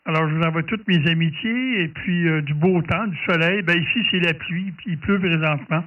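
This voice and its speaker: A man's voice: a little high, normal speed. Quebec man on a dull, distant radio. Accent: Quebec French. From Canada. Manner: friendly, chatty. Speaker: amateur radio operator. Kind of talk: casual ham radio chat.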